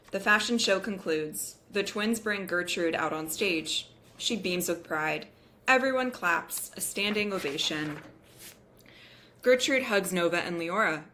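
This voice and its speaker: A woman's voice: on the low side, fairly bright tone. A woman reading stage directions as the narrator of a scripted table read.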